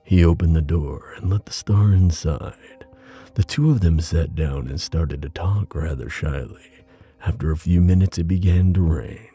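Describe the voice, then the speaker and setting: A man with a deep voice giving an intimate, soothing ASMR bedtime reading as a boyfriend character. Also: a little slow, warm tone, slightly rough. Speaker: ASMR boyfriend roleplay performer. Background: music.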